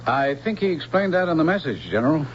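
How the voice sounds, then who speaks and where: Man playing mild male android, hesitant, deferential, swooping higher-pitched voice, radio play, noisy old broadcast. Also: animated, quick pace, highs cut off, close to an everyday voice. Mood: polite, earnest. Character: mild, polite, hesitant male humanoid robot. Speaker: actor in a radio drama. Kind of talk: 1970s radio drama acting.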